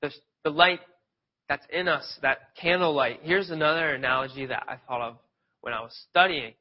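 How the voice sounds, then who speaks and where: A man from near Philadelphia preaches in a loose, chatty way and often restarts his sentences. His voice sits a little high and he sniffles from a cold. The recording is dull and lo-fi. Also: moderate pace. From Pennsylvania, near Philadelphia. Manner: conversational, loose storytelling with restarts. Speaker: lay preacher. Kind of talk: informal sermon. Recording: dull lo-fi sound.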